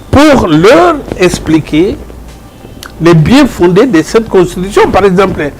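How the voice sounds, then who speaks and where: A man with a Congolese French accent speaking as a professor in an interview, arguing emphatically and deliberately, repeating phrases for emphasis. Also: high-pitched, a little hiss or hum, low-quality recording. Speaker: professor, guest and spokesperson. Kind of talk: earnest interview argument.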